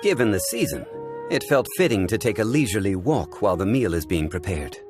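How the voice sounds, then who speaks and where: A man voicing a calm, wistful, dignified gentleman in a video game, with formal, poetic and deliberate speech. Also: on the low side, over music, lightly acted.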